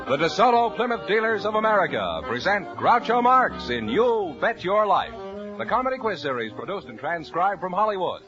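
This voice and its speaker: A man announcing a 1950s radio quiz show in a crisp, measured, polished delivery. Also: high voice, big pitch swings, over music. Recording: dull 1950s broadcast recording.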